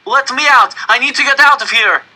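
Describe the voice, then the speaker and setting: Man acting out a screaming, panicked prisoner, high-pitched. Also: fairly quick, sharp tone, heavily acted, poor audio. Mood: panicked, desperate. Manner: desperate, screaming.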